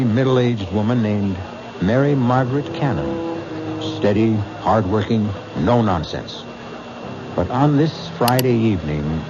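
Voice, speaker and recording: A man narrates a radio mystery with ominous, very slow delivery and sweeping, lowish pitch. The old broadcast sounds muffled and noisy. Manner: deliberate, ominous, theatrical. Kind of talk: dramatic scripted narration, old-time radio.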